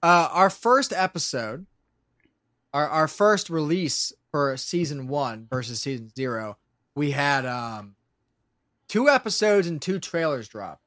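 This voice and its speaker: Higher-voiced young American man, rambling podcast chat. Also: animated, unhurried, a bit bright, no room sound, studio quality. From Pennsylvania, US. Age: late twenties. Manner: rambling, sweary, self-interrupting. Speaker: podcast guest, show creator and musician.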